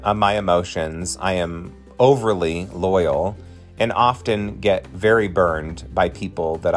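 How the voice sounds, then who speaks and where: A man in his forties hosting a podcast, talking in an effusive, encouraging way straight to his listeners. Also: low voice. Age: 40s. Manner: chatty, effusive and encouraging, addresses listeners directly. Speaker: podcast host and drag performer. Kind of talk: casual podcast monologue.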